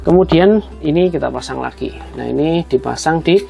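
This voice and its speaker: A man's voice: on the high side, normal speed, vocal fry, warm tone, faint music behind. A man explains a hands-on job step by step in a casual, conversational way, addressing viewers as friends. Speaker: host of a tutorial video.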